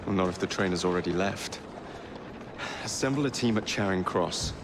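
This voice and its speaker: An actor playing a brash young Victorian gang leader in a video game, with an English accent, a gravelly voice and wry, clipped delivery. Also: male voice, low-pitched, normal speed, background noise. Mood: determined. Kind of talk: video game cutscene acting.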